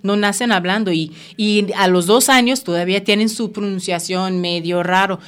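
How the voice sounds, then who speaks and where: Jamaican woman explaining with steady encouragement. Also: mid-range voice, normal speed, clear, resonant tone. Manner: encouraging, explanatory, frequent tag questions. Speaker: language school representative. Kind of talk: conversational interview.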